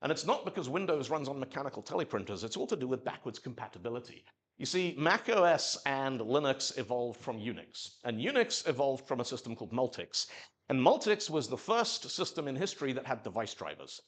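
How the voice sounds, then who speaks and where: A man's voice: mid-range voice, fairly quick. A man from Zimbabwe giving a live technical talk at a meetup, telling stories and acting out quotes in little voices. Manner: animated storytelling, acts out quotes in voices. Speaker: conference speaker.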